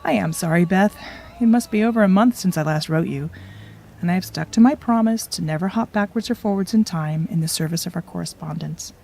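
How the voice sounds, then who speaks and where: A woman's voice: fairly low pitch, a little fast, creaky, dark tone. A woman playing a wry, self-doubting young time traveler in an audio drama, with a wistful, confiding manner. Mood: wistful, affectionate. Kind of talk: audio drama, reflective letter narration and dialogue.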